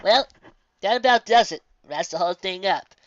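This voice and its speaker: A woman's voice: medium pitch, lively intonation, moderate pace, no echo, highs cut off, natural voice. A woman voicing a chatty old country woman from a storybook, folksy and rambling. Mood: warm, rambling. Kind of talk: storybook character voice.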